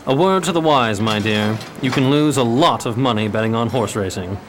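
A man playing a courtly, uneasy gentleman gambler in a 1920s period radio drama, speaking formally. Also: medium pitch, moderate pace, a little hiss or hum, close to an everyday voice.

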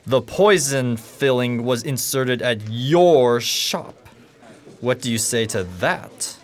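A grave interrogator, acted by a man in a radio mystery, formal and accusing. He speaks very slowly at a middling pitch. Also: lively intonation. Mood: stern. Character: grave, deliberate interrogator pressing a suspect. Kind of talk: radio mystery drama acting.

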